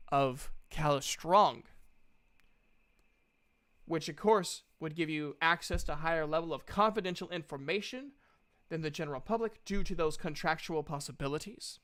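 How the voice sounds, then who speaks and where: A man's voice: a little high, a bit bright, clean tone, no echo, studio quality, lightly acted. A man voicing an earnest, diplomatic woman representing a guild in a tabletop game, speaking formally and reasoning things through. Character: earnest, diplomatic female guild representative making a counter-offer.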